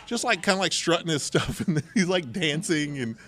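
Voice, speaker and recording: A man's voice: fairly high pitch, expressive, normal speed, a bit bright. Man casually telling stories. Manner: informal, acts out quoted dialogue. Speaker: podcast host telling personal stories. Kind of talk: casual anecdotal storytelling chat.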